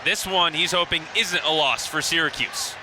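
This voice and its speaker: A man in his twenties giving live radio play-by-play in clipped, descriptive phrases that grow excited on big plays. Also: a little high, normal speed. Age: 20s. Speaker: play-by-play commentator. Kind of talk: live radio sports commentary. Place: outdoor lacrosse stadium. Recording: noisy outdoor broadcast with stadium ambience.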